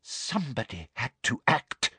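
A reader voicing a prissy, cruel middle-aged female teacher and ministry official in a breathy, sneering, over-deliberate way for a storybook. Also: medium pitch, very animated, no room sound, pitched above the performer's own voice. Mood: gloating, menacing.

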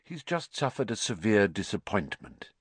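A man voicing a calm, quietly amused old male headmaster who speaks in a measured way. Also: mid-range voice, no room sound, pitched above the performer's own voice. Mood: gentle.

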